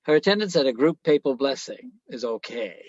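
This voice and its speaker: A man's voice: mid-range voice, animated, brisk, a little mellow, lightly acted. A man playing a cold, dismissive Pope in a radio play, giving curt, formal orders in a muffled, roomy recording.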